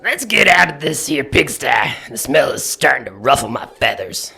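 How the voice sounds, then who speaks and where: A man voicing a folksy, wary alien bird in a comedy audio drama, with an American Southern drawl and a gravelly voice. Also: mid-range voice, very animated, moderate pace, noisy background. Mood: grumbling.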